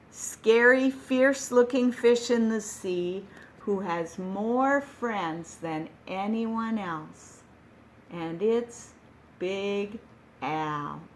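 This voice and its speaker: A woman reading a children's picture book aloud, gentle and expressive, with dramatic pauses. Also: mid-range voice.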